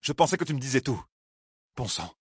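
A man's voice: medium pitch, big pitch swings, brisk, husky, no echo, studio quality, pitched above the performer's own voice. A man voicing a brusque, troubled young hero in a fantasy audiobook, blunt and exasperated. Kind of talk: audiobook character dialogue.

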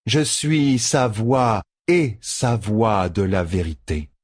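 Audiobook fantasy guard, a hard young woman, read by a man: husky, drawn-out, dry and scornful. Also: fairly low pitch, dry recording. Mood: cold, guarded. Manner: slow, deliberate, dry deadpan. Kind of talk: audiobook fantasy character dialogue.

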